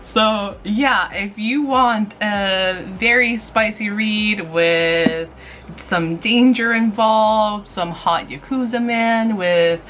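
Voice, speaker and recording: A woman's voice: medium pitch, slow, a bit bright, slight background noise. A woman chatting casually to camera as a review vlog host, gushing, playful and informal. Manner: gushing, giggly, playful, informal with mild swearing.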